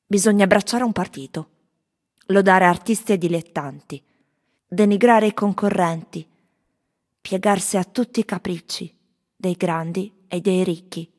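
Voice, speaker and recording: An actress voicing disgruntled tourists in Rome, in a theatrical radio recitation of their testimonies. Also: female voice, mid-range voice, unhurried, a little mellow, natural voice. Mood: reflective. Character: disgruntled tourists in Rome, airing complaints and impressions.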